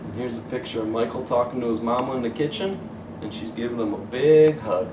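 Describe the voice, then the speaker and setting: Guy teacher reading storytime out loud, roomy echo, bit far from mic, faint noise. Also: medium pitch, brisk. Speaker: teacher reading a picture book aloud. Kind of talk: animated children's story read-aloud, pausing to show pictures.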